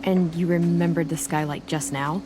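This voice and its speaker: A woman playing a caring, steady teenage girl survivor in a video game, speaking with an American accent in a reassuring, dryly teasing way. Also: fairly low pitch, moderate pace, close to an everyday voice. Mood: gently teasing. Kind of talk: video game voice acting, casual dialogue. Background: background music.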